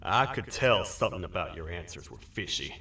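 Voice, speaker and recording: An actor playing a gruff, stern toad sheriff in a video game, suspicious and commanding with a folksy lawman touch. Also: deep voice, animated, brisk, pushed and bright, slight background noise. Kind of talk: video game character acting. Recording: roomy echo, distant, music underneath.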